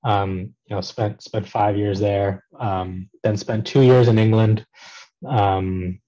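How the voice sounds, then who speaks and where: A man in his thirties with a fairly low, warm, mellow voice, talking at an easy pace as a podcast guest. He hesitates often and restarts his phrases. The sound is slightly dull and lo-fi. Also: slow pace. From Pakistan. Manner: relaxed, many ums and restarts. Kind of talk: casual conversational interview.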